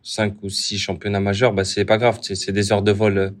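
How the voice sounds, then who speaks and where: A young man, a footballer, answering interview questions casually with many restarts. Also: on the low side. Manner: relaxed, halting, many restarts. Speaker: footballer guest.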